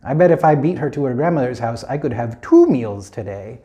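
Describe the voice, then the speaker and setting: A man's voice: medium pitch, expressive, fairly quick, mellow, dull audio, voice pushed down, lightly acted. A man voicing a hungry, sly, scheming wolf in a children's story, talking in a coaxing way.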